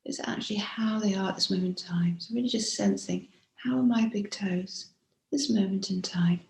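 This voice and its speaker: Gentle, creaky-voiced woman guiding meditation. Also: mid-range voice. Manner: gentle, unhurried, with frequent pauses. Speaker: meditation teacher. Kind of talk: guided body scan meditation. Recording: roomy, echoing room sound.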